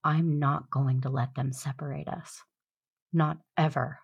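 A woman playing a steadfast, protective and tender sister in an audio drama, speaking earnestly and with measure in a husky, creaky voice. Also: a bass voice, fairly even intonation, a little slow, muffled recording, heavily acted. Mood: reassuring.

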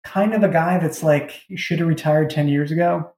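A man, a writer, talking casually as an interview guest in halting, informal phrases. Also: on the high side, fairly even intonation, darkish tone. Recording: roomy, echoey sound.

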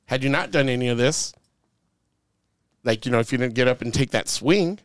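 A man's voice: medium pitch, normal speed, no echo, studio quality. A man co-hosting a podcast, giving casual marketing advice in a rambling way, full of fillers and sports analogies. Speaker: podcast co-host.

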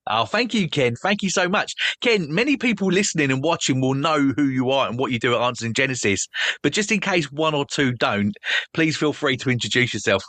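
A man's voice: medium pitch, expressive, fairly quick, a bit bright, dry recording. A man hosting a podcast, introducing his guest in an upbeat, welcoming way. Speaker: podcast host. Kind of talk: podcast interview.

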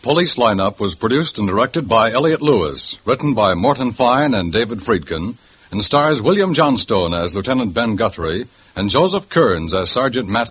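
A male announcer reading closing credits in a formal, measured way. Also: medium pitch, fairly bright tone, breathy edge. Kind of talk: old radio closing credits. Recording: muffled old radio recording.

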